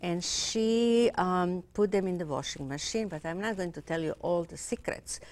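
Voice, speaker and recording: A woman's voice: on the low side, moderate pace, a little mellow. A middle-aged woman hosting a cable fashion interview show, speaking in with many fillers and hesitations. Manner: hesitant, many fillers, non-native grammar.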